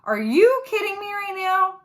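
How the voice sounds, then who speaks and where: A woman's voice: very high voice, sing-song, measured pace, voice pushed up, exaggerated voice. A woman voicing an exasperated, sarcastic preteen schoolgirl in a storybook, with emphatic delivery.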